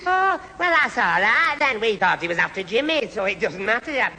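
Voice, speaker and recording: A man's voice: high voice, big pitch swings, normal speed, pushed and bright, full character voice. A man playing a dim, muddled, put-upon lad, stammering through a 1970s radio sitcom. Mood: sulky. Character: dim, flustered, put-upon lad posing as someone's dad. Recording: noisy old radio recording, audience behind.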